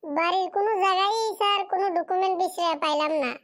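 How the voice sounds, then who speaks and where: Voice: very high-pitched, fairly even intonation, quick pace, a bit bright. A performer voicing a poor, fatherless schoolboy in a cartoon drama, pleading and plaintive in a rural Bengali dialect.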